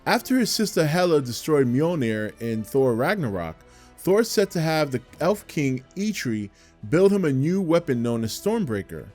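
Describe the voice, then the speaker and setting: A man's voice: on the high side, very animated, moderate pace, a little mellow. A man hosting a video with scripted narration. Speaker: video host. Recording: music behind the voice. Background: music.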